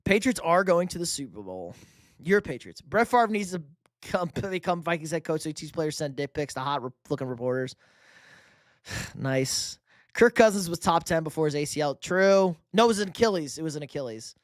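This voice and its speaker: A man's voice: a little high, animated, moderate pace, no room sound, clean studio sound. A young man hosting a live sports stream, reading chat questions and bantering loosely with casual profanity. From New York. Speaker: livestream host reading chat.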